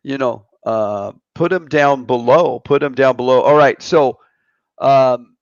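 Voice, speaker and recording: Gravelly-voiced man in his fifties hosting. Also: mid-range voice, unhurried, no room sound. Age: 50s. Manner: chatty, joking, greets viewers. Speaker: host of a live online show. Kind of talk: casual live-stream chat. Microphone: computer mic on a live video stream. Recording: dry, slightly dull stream audio.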